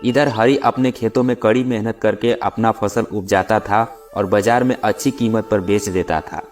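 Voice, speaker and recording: Male storyteller over soft music. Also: lowish voice, fairly even intonation, normal speed. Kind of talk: scripted narration of children's moral tales. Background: background music.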